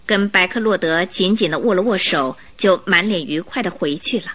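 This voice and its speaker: A woman reading scripted story narration for a radio drama in an expressive way. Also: fairly low pitch, normal speed.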